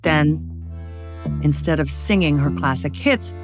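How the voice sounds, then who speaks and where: A woman reading a scripted biography for children as a narrator, with expressive, dramatic storytelling. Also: sits low, measured pace, over music.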